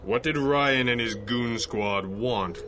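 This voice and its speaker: A man's voice: mid-range voice, takes it slowly, noisy background, natural voice. A man playing a commanding masked hero in a 1930s-style radio serial, formal, precise and dryly sardonic. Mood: stern, dry. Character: masked male superhero, commanding, wry, formal and clipped.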